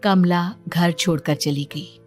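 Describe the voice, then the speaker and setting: A woman's voice: on the low side, fairly even intonation, slow, a little mellow. Woman narrating a story over music. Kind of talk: scripted moral-story narration. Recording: music bed behind the voice.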